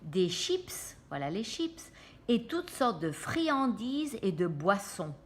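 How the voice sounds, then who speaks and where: A woman reads a picture book to children at an unhurried pace. Her pitch is lively and varied, and she often steps out of the story to explain and check in. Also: a little hiss or hum. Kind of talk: animated read-aloud with asides to children.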